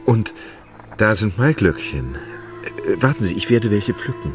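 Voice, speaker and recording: An actor playing an idle, lovesick gentleman in a radio play, anxious and tender, speaking haltingly and evasively. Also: on the low side, very animated, music in the background. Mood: awkward, hesitant. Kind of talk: radio drama acting.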